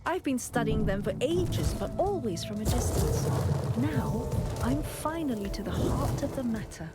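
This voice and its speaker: A woman's voice: a little high, animated, a little slow, loud music behind the voice. An actress voicing an eager woman explorer and scholar in a video game, speaking in a formal, declamatory and enthusiastic way. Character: eager woman explorer-scholar, awed guide to wondrous ruins.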